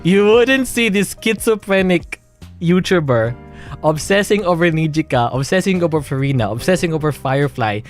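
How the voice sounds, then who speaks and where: A man's voice: on the high side, lively intonation, normal speed. Young man, Filipino accent, livestream monologue, rambling restarts, faint music underneath. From Philippines. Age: young adult. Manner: rambling with many restarts and nervous laughs. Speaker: streamer.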